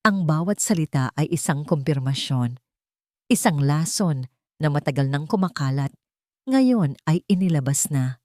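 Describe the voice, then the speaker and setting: A woman's voice: low-pitched, expressive, a little slow, warm tone, dry recording, clean studio sound. A woman narrating a scripted romance story in a dramatic storytelling style.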